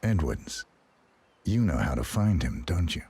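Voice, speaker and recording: A man's voice: sits low, very animated, unhurried, darkish tone, breathy edge, no echo, full character voice. A man voicing a stoic, cold male professional assassin in a video game cutscene, speaking tersely in clipped phrases. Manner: terse and clipped, few words.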